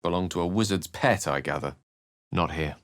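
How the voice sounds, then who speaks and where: An actor playing an eloquent, wry wizard companion in a fantasy video game, with articulate, theatrical phrasing. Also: male voice, low-pitched, normal speed, fairly bright tone, no echo, clean studio sound. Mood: wry, thoughtful. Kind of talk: fantasy game dialogue and narration.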